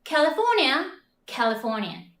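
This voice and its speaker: A woman explains in an animated, teacher-like way in a scripted lesson video. Her voice is high, bright and full of movement in pitch. The room adds a noticeable echo. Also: normal speed.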